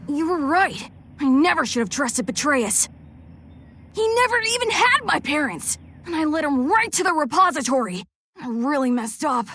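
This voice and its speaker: A woman voicing a plucky, earnest young boy hero in an animated game, boyish and exclamatory. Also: sits high, moderate pace, slight background noise, full character voice. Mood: remorseful.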